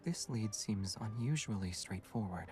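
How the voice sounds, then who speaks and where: Game role: gentle young hunter, low, hushed, lightly teasing, music underneath. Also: male voice, expressive, measured pace. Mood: calm. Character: Xavier, soft-spoken young male hunter, gentle and teasing. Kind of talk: video game voice acting. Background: background music.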